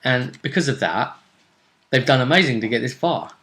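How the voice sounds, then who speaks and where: Male host, bright forward voice, informal and wry, casual recap chat about a fantasy game. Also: medium pitch, animated, moderate pace. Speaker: host of a tabletop role-play series.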